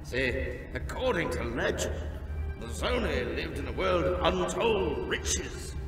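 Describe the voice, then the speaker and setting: A man's voice: a little high, very animated, slow. A man playing a genial, fussy alien island official in a video game, speaking in a theatrical, courtly way. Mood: storytelling, intrigued. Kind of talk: video game character acting. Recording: echoey hall, distant, under loud music.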